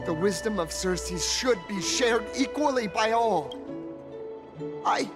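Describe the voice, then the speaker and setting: A woman's voice: medium pitch, expressive, slow pace, over loud music. A woman playing a boastful, defiant young explorer in a video game, speaking in a grandiose, declamatory manner. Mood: boastful, proud.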